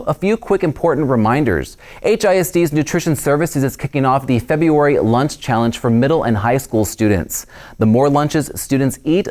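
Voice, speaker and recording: A man's voice: mid-range voice, a little mellow. Casting: male host of a district news show, mid-range voice, upbeat and friendly, reads from a script.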